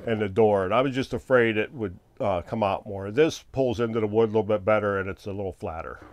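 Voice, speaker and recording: A man's voice: lowish voice, quick pace, slight background noise. A man in his fifties, an RV owner, talking casually as he works through a repair step by step, thinking aloud as he goes. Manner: plainspoken, thinks aloud while working with his hands. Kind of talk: casual how-to narration. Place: small bedroom in an RV.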